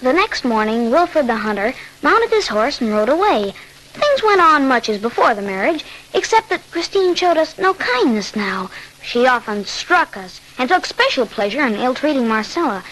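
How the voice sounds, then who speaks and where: A woman's voice: high voice, very animated, moderate pace, fairly bright tone. A woman playing an earnest, fearful young boy who narrates and acts in a 1940s radio horror drama. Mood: grave, suspenseful. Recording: slightly noisy 1940s radio recording.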